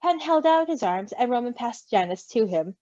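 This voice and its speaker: A woman reading a story aloud expressively, with an animated, giggly manner. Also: a little high, moderate pace, no room sound. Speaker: story reader. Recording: slightly dull, close dry mic.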